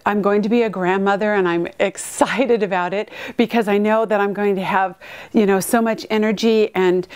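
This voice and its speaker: A woman in her fifties from California, giving an excited, unscripted testimonial with many ums and restarts. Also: medium pitch. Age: 50s.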